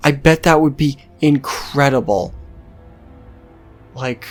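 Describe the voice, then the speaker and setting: Male game-video host, mid-pitched and slow-paced, off-the-cuff and rambling, faint music behind. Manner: rambling, casual swearing. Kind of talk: casual unscripted game commentary.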